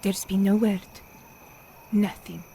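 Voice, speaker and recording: An actress playing a resolute, grieving young Italian partisan in a video game, solemn and often hushed, with an Italian accent. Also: female voice, medium pitch, flat delivery, slow pace, gravelly, a little hiss or hum. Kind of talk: video game cutscene acting.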